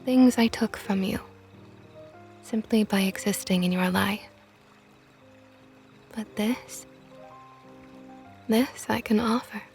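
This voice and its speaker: A woman voicing a possessive, flirtatious female vampire knight in an ASMR roleplay, talking softly and often in a whisper with a teasing tone. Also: medium pitch, slow, pauses often, faint music behind. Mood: tender teasing.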